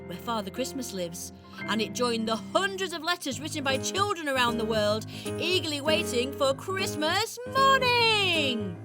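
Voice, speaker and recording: A woman's voice: high voice, very animated, a little slow. A woman narrating a rhyming children's radio play as storyteller. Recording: music behind the voice.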